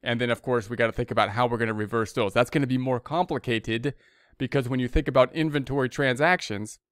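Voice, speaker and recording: Crisp, dry-sounding American male instructor. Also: medium pitch, steady tone, fast talker, a bit bright, no echo. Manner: conversational, repeats and rephrases himself. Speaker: accounting instructor. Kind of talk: informal explanatory lecture over slides.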